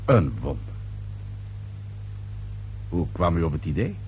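A man's voice: deep voice, very animated, slow pace, darkish tone, full character voice, poor audio. An actor playing a shrewd, sardonic male police inspector in a radio play, probing in short dry phrases. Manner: probing, dry, clipped, repeats answers pointedly. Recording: old radio play recording with faint hum.

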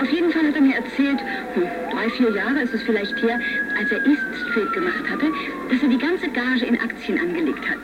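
A woman acting a candid informant in a German radio drama, heard as a taped statement, hesitant but matter-of-fact. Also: sits high. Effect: played-back tape recording. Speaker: actor. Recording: dull, very noisy tape playback.

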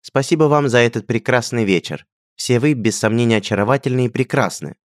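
A courteous young prince making a measured, formal address, raised well above the reader's own deep voice, as a male narrator puts him on. The recording is close and dry, with no echo at all. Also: natural voice. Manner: ceremonious, measured. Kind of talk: audiobook character voice.

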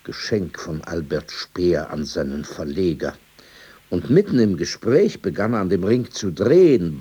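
Radio play: old ex-editor, mellow and rough-edged, wry, dismissive, opinionated storyteller. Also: male voice, sits low, exaggerated voice. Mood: wry, contemptuous. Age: older. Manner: sardonic, digressive, dismissive. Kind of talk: radio drama dialogue.

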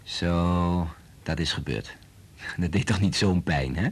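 Kindly doctor, husky and coaxing. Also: male voice, low voice, slow pace, full character voice. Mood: gentle, reassuring. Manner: gentle, coaxing. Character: kindly, reassuring male doctor soothing a child. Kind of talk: radio drama acting.

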